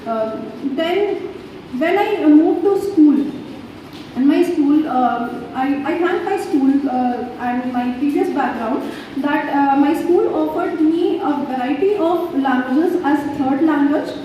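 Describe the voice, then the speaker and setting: High-pitched Indian woman in an echoing hall. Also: expressive, warm tone, a little hiss or hum. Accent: Indian English. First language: Hindi. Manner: halting, many "uh" fillers and restarts. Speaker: teacher trainer. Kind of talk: in-house training talk. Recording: echoey hall from far off, dull.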